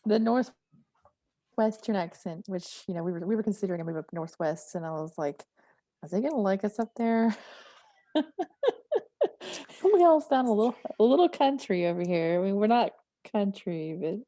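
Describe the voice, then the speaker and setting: A woman's voice: mid-range voice, lively intonation, moderate pace, pauses often, warm tone, no room sound, clean studio sound. A woman with a slight Southern accent, chatting casually as a guest about her writing, laughing often and acting out her own thoughts. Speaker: guest writer. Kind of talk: casual conversation.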